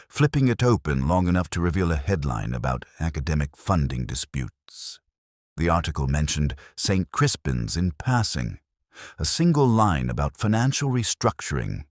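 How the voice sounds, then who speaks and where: A middle-aged man reading a first-person detective story in a measured, brooding, literary manner. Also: extremely low pitch, no echo, studio quality. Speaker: narrator.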